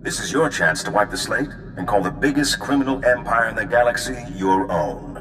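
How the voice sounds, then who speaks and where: An actor playing a scheming male criminal ally in a game, smooth and conspiratorial. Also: on the low side, lively intonation, a little fast, loud music behind the voice, lightly acted. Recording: roomy game audio with loud score.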